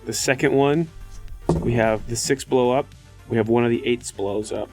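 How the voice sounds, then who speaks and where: A man's voice: medium pitch, fairly even intonation, moderate pace, over music. Matter-of-fact man at a game table. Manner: matter-of-fact, working through rules and numbers. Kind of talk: casual game table chat.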